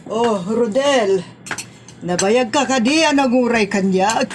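A woman portrays an exasperated middle-aged auntie in a comedy drama. She speaks very slowly with an Ilocano accent, stretching words into exclamations. A faint noise sits behind her voice. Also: fairly high pitch. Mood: solicitous.

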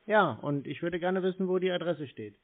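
A man's voice: sits high, lively intonation, moderate pace. A man in a casual phone conversation, blunt and skeptical, persistently questioning the caller. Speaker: scam-baiting call recipient. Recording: narrow tinny phone-like audio.

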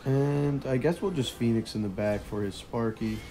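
A young man with a hoarse, partly lost voice, casually commentating a mobile strategy game and chuckling quietly as he plays. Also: mid-range voice, moderate pace, a little hiss or hum. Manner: relaxed, chuckles quietly while playing.

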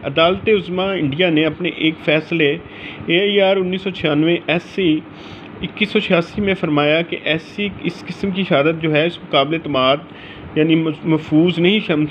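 A man's voice: a little high, muffled recording, a little hiss or hum. A man with a Pakistani accent gives an informal law lecture from notes, mixing English legal terms into his speech.

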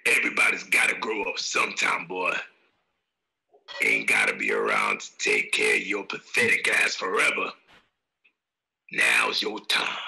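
Man acting out a gravelly, brutal outlaw father. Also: sits low, very animated, a little slow, pauses often, pushed and bright, full character voice, low-quality recording. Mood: menacing coaxing. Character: brutal, sadistic, foul-mouthed Western outlaw father. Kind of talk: radio drama acting. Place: home, on a group video call.

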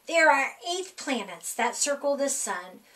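High, lilting woman reading a children's story. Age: middle-aged. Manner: animated, sing-song, deliberate delivery for young children. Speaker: library storytime reader. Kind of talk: expressive read-aloud of a rhyming children's picture book. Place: small indoor room.

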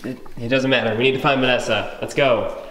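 A man's voice: mid-range voice, steady tone, a little fast, fairly bright tone, lightly acted. A young man playing a jittery, reluctant student cameraman in a found-footage horror film, with noisy amateur sound. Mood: uneasy.

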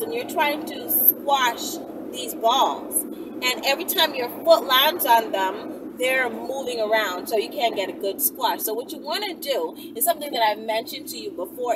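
A young adult woman giving casual, emphatic instructional chat to camera from inside a moving car, repeating phrases and checking in with the listener. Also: high-pitched. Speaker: vlog host answering viewer questions. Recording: distant mic, loud car road noise.

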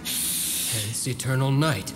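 A man's voice: mid-range voice, slow, big-room sound, over music, close to an everyday voice. A man playing a stern, earnest young vampire hunter in a video game cutscene, speaking in a demanding way.

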